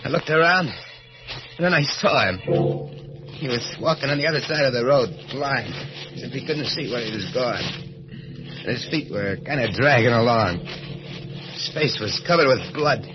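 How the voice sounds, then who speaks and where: An actor playing a tough, evasive fugitive killer in an old-time radio thriller, speaking in clipped colloquial phrases. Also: male voice, mid-range voice, lively intonation, moderate pace, pauses often, close to an everyday voice. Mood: haunted. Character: murderous escaped male fugitive, jumpy, evasive, tough, narrating his confession. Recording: muffled vintage radio, loud music behind.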